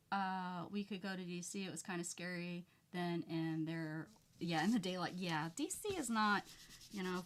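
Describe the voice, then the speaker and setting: A woman's voice: medium pitch, a bit bright, slight background noise. A woman with a light Southern American accent, chatting casually with viewers and telling rambling stories while she works on a craft project. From United States. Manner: rambling, chatty storyteller reading comments aloud. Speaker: craft channel host.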